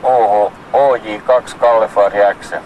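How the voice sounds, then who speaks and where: A man's voice: lowish voice, fairly even intonation, noisy background. A man working as a radio operator in an exercise, formally dictating messages in short, repeated procedural phrases.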